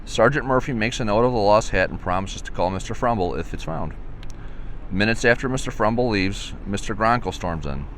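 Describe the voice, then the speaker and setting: Low-voiced man reading a children's story. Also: animated, a little fast, a bit bright, slight background noise. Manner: occasional stumbles and repeats while reading. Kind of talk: read-aloud children's story narration.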